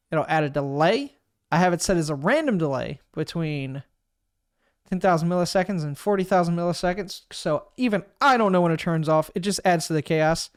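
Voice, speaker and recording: Smooth, dry-voiced man explaining software. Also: high-pitched, dry recording. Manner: relaxed, thinks aloud while clicking through steps. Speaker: streamer giving a software tutorial. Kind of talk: casual screen-recorded walkthrough. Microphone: desk microphone.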